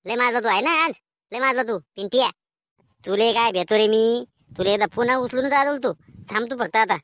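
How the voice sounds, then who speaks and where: A woman's voice: a little high, normal speed, fairly bright tone, slight background noise, close to an everyday voice. A woman voicing a cheeky, quarrelsome village schoolboy in a comedy cartoon, with rural Maharashtrian slang and a taunting, argumentative way of talking.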